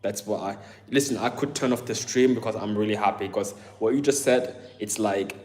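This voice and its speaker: A man's voice: on the low side, fairly quick, a little mellow. A man with an accent that mixes Southern English, German and Ghanaian, chatting casually and rambling to his live-stream viewers. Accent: mix of Southern English, German and Ghanaian. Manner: rambling, full of fillers, addresses chat as bro. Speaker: livestream host.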